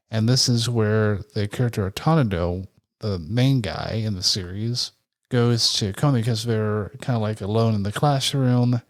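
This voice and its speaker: A soft-spoken man with a gentle tone, co-hosting a podcast and talking candidly and reflectively. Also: on the low side. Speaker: podcast co-host. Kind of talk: casual podcast chat.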